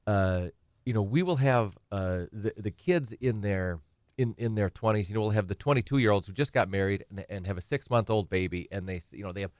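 A man's voice: fairly low pitch, a little fast, darkish tone, dry recording, clean studio sound. A middle-aged man, a guest attorney explaining estate planning in a rambling, conversational way and acting out clients' questions. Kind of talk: conversational talk show explanation.

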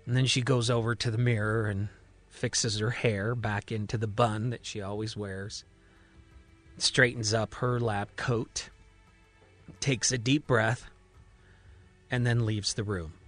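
A man's voice: on the low side, fairly even intonation, unhurried, pauses often, a bit bright, soft background music. A man narrating a fiction podcast as its host, telling the story in a dramatic, suspenseful present tense.

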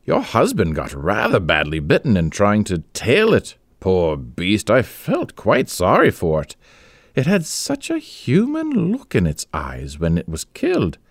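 A man reading a story aloud, voicing a chatty female neighbour in a gushing, high falsetto. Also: huge pitch swings, measured pace, no room sound, clean studio sound, exaggerated voice. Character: chatty gossiping woman neighbour, lively.

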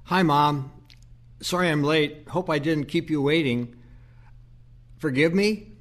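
Guy acting a worn-out priest son in a radio play, slightly higher voice, mild, trying to sound cheery. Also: takes it slowly, a bit bright. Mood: tired, upbeat. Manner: mild, dutiful, low-energy.

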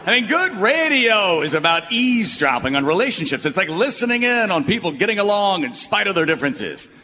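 Grandiose old broadcaster dad, echoey hall. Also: male voice, sits high, big pitch swings, pushed and bright, heavily acted. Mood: preaching, fervent. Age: older. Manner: grandiose, halting, folksy oratory. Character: rambling, sentimental old retired baseball broadcaster dad. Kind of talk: live radio play acting. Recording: echoey live hall, faint noise.

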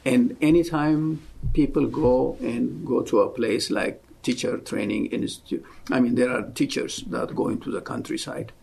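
A man in his seventies from Ethiopia, with an Ethiopian accent, giving an earnest, fervent faith testimony as an interview guest and often dropping to a soft hush. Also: mid-range voice, steady tone, normal speed, creaky, hardly any pauses, slightly rough. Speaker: guest, missionary.

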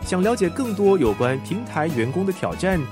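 配乐上语调生动的男播报员. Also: male voice, high-pitched, sing-song, normal speed. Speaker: announcer. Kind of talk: scripted sponsor announcement. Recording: music bed under announcement.

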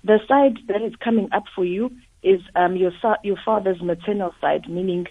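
A hoarse woman with a cold, a clairvoyant medium giving a flowing psychic reading over the phone. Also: medium pitch, moderate pace. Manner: steady flowing reading, frequent "um". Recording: tinny phone line.

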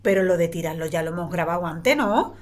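A woman's voice: fairly low pitch, a little fast, lightly acted. A woman playing a chatty, practical middle-aged mother with a mildly sardonic edge and an accent from Spain, in a naturalistic audio drama. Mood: grumbling, doubtful. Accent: Spanish from Spain.

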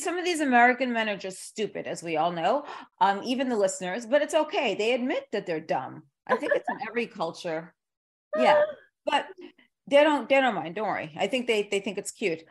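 A woman's voice: on the high side, sing-song, a little fast. A woman hosting a casual interview, chatting in an informal, rambling and joking way. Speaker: interviewer, podcast host.

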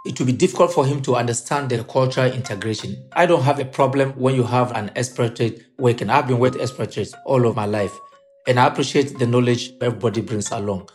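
A man's voice: mid-range voice, quick pace, soft background music. A middle-aged man with a Ghanaian accent, a football coach giving emphatic interview answers.

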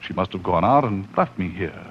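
A man's voice: low voice, expressive, moderate pace. An actor playing a haunted man unravelling into madness, melodramatic and overwrought in 1940s radio horror style. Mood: pleading. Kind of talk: 1940s radio horror acting, dramatic narration. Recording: muffled, faintly noisy 1940s radio recording.

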